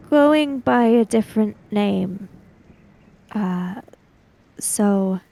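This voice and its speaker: A woman playing a tabletop roleplaying game, speaking in character with careful, formal, halting phrases. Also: mid-range voice, vocal fry, warm tone.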